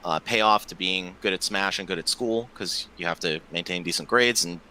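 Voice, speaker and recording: A young man in his twenties with a very low voice and a bright, forceful edge. He talks loosely and at length as a podcast guest, scattering fillers and the odd curse, over a faint ambient hiss. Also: moderate pace, poor audio. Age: 20s. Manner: loose and talkative, heavy use of filler words and swearing. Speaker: podcast guest, esports tournament organizer. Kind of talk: casual podcast chat.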